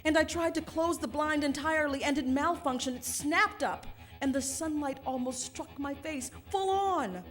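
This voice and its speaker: An actress playing an agitated, imperious and fastidious mother in a futuristic radio drama, with a haughty, theatrical delivery. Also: sits high, a bit bright, soft background music. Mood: agitated, indignant. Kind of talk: theatrical radio drama acting before a live audience.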